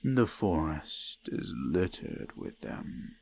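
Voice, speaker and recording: A man playing an ancient, possessive forest god in an intimate roleplay audio, speaking formally and ominously in a breathy, gravelly murmur that often drops to a whisper. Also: extremely low pitch, sing-song, slow, a little mellow, full character voice. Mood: solemn, ominous.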